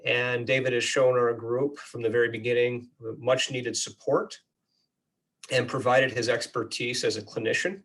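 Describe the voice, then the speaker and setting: A man's voice: medium pitch, steady tone, moderate pace, fairly bright tone, rough recording. A man who is a researcher, hosting a webinar and introducing a guest in a casual, somewhat hesitant way.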